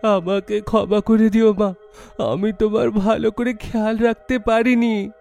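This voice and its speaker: পুরুষকণ্ঠে আবেগী, অনুগত ছেলে, পেছনে বাজনা. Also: male voice, high voice, little pitch movement, a little slow, gravelly, a little mellow, soft background music. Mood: remorseful. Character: devoted adult son, remorseful and protective.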